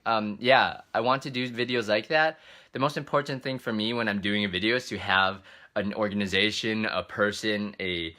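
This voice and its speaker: A man's voice: lowish voice, pushed and bright. A man who hosts travel videos, chatting casually in a live question-and-answer session, informal and rambling as he thinks aloud. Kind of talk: casual livestream Q&A, answering read-out comments.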